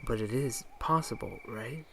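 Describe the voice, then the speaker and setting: A woman's voice: very deep voice, normal speed, slight background noise. A woman playing a thoughtful, questioning young listener in an audio play, speaking earnestly and inquisitively. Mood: curious, probing.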